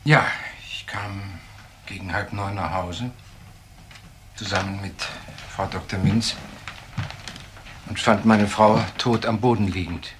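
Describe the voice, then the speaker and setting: An actor playing a composed, authoritative professor in a radio crime drama, speaking formally with measured pauses. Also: male voice, lowish voice, fairly even intonation, noisy background. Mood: controlled, grave. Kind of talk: naturalistic German radio crime drama acting.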